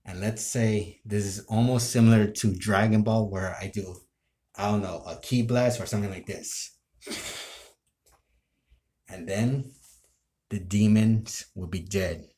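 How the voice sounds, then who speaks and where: A man telling an improvised story casually to camera, in a halting, rambling way that often breaks off. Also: fairly low pitch, steady tone, dark tone. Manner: halting, rambling, self-interrupting. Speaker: amateur role-play video creator.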